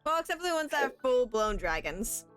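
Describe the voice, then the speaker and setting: Fairly high-voiced woman, casual gaming chat. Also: big pitch swings, brisk, fairly bright tone, soft background music. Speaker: gameplay commentator. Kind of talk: casual commentary on a video game.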